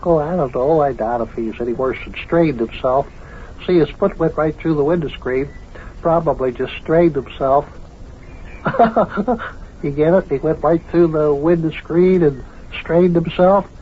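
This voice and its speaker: An actor playing a folksy, rambling tall-tale spinner and joker in an old-time radio comedy. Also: male voice, a little high, unhurried, slight background noise, natural voice. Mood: joking. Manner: drawling, rambling, folksy ungrammatical speech. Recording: dull, lo-fi old radio recording.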